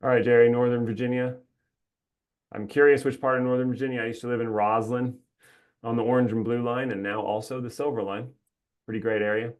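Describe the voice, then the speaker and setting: A man with an average-pitched voice hosts an online webinar in an easygoing, rambling way. He sounds upbeat and laughs often as he chats with the people joining. His voice comes through a video call microphone. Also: fairly even intonation, fairly quick. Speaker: webinar host. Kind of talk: casual chatty webinar welcome.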